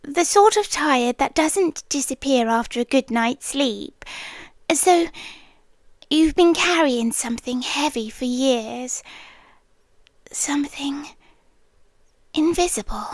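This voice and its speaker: Woman playing a hushed, high-voiced British night nurse. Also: expressive, slow pace, long pauses, dry recording. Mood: tender, probing. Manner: hushed, intimate, slipping into whispers. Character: doting, possessive female night nurse, secretive, softly insistent. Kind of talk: ASMR roleplay. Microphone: close microphone in a dry room.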